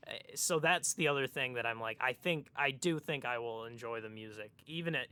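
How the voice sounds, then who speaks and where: Male podcast co-host, medium pitch, crisp forward tone, conversational, feels his way through sentences haltingly. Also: sharp tone. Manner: hesitant, halting, repeats word starts. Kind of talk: casual chat.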